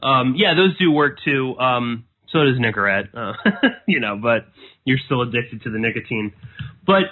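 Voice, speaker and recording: A man's voice: medium pitch, animated. Man hosting over a tinny line. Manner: halting, many fillers and restarts. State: quitting smoking, chewing nicotine gum. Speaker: podcast host. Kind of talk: casual interview intro. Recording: tinny phone-like audio.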